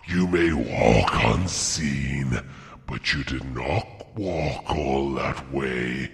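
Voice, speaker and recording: A man voicing a huge, ancient, sly and menacing dragon in a storybook reading, gravelly and booming, with slow taunting delivery and a cavernous reverb effect. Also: full character voice. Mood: taunting menace. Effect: deep cavernous reverb effect. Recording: long cavernous added reverb.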